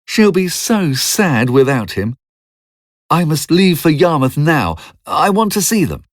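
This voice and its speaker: A man's voice: fairly high pitch, very animated, measured pace, no room sound, studio quality, pitched above the performer's own voice. A man voicing a kind, earnest young hero in an audiobook novel. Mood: concerned. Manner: earnest, concerned.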